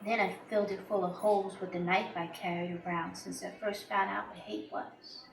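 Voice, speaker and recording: A woman playing an embittered, unhinged young woman jealous of her sister, telling her story slowly and swinging into bitter outbursts in a dramatic stage monologue. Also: sits high, a bit bright. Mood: cold, menacing. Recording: roomy echo, distant microphone.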